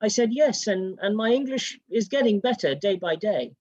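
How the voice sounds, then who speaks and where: A British-born panelist telling a personal story in a panel discussion and acting out the quoted exchanges. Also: male voice, high-pitched, animated, moderate pace, a little mellow, dry recording. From United Kingdom. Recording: dull, dry sound.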